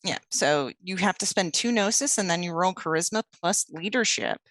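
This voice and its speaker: A woman narrating as storyteller for a tabletop werewolf game, setting scenes slowly and deliberately. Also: on the low side, expressive, fairly bright tone, no echo.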